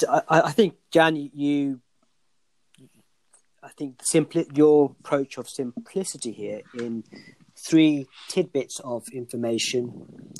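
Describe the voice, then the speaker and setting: A man's voice: mid-range voice, darkish tone, low-quality recording. A man co-hosting a podcast discussion, speaking in formal, elaborate sentences with frequent restarts, losing his voice. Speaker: podcast co-host.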